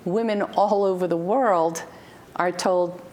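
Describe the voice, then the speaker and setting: A woman's voice: lowish voice, steady tone, slow, a little mellow, a little hiss or hum. A woman hosting a TV talk show, asking questions in an encouraging, conversational way. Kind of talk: TV interview.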